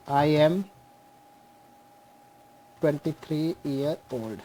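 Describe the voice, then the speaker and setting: A young man teaching a programming class on screen, slowly walking through code step by step and mixing Hindi with English. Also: on the high side, dark tone, rough recording. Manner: slow, explains step by step, mixes Hindi and English. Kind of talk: screen-share coding lesson.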